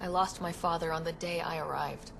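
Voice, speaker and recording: An actress playing a confident young team leader in a video game, wry and direct. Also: female voice, lowish voice, steady tone, fairly quick, creaky, noisy background.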